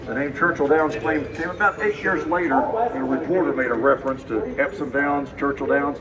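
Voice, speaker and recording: A man, hoarse from a cold, giving a folksy guided walking tour and repeating his key points. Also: on the high side, fairly quick, crowd noise. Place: outdoors at a racetrack with a tour group. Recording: distant voice, outdoor noise.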